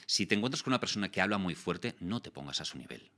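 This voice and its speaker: Deep-voiced guy in his forties, crisp bright tone, chatting as a guest, acts out quotes. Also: quick pace. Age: 40s. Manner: explanatory, animated storytelling with voiced quotes. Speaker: guest, voice actor and communication coach. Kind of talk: casual interview talk.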